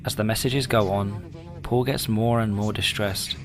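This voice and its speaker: A man narrating a scripted horror analysis video in a measured way, with pauses for effect. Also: lowish voice, steady tone, noisy background. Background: music.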